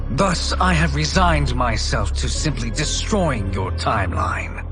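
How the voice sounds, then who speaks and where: An actor playing a smug, menacing sorcerer villain in a video game cutscene, speaking with pompous, deliberate gloating. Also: male voice, medium pitch.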